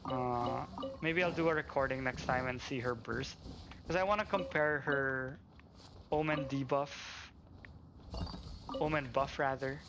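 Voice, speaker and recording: A man's voice: mid-range voice, fairly even intonation, a little slow, long pauses, noisy background. A man chatting casually on a game livestream, rambling and thinking aloud as he plays. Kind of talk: casual livestream chat while gaming. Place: at home. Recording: game music playing behind. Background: video game music.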